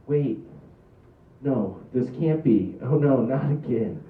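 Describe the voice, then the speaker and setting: A man's voice: medium pitch, animated, takes it slowly. A man playing an awkward, hesitant teenage boy in a comedy script at a table read. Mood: dismayed. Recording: distant, echoey, phone-like live recording.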